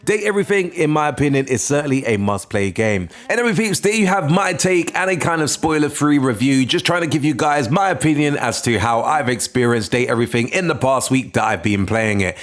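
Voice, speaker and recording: Man, game review host, middle-pitched voice, scripted narration, conversational opinion-giving. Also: lively intonation, brisk, few pauses.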